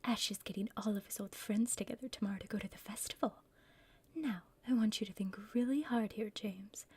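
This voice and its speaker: A woman's voice: mid-range voice, fairly quick, warm tone, dry recording, natural voice. A woman playing a bossy, scheming female villain in a whispered ASMR roleplay, impatient and conspiratorial with her partner. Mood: explaining, conspiratorial. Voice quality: breathy whisper.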